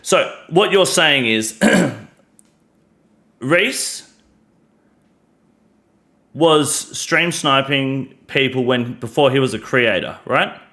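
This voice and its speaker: Aussie guy, a bit tipsy, stumbles and restarts a lot, middle pitch. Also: extremely slow, pauses often, pushed and bright. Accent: Australian. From Australia. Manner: hesitant, many restarts and fillers, careful. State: slightly drunk. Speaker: streamer host. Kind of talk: casual interview.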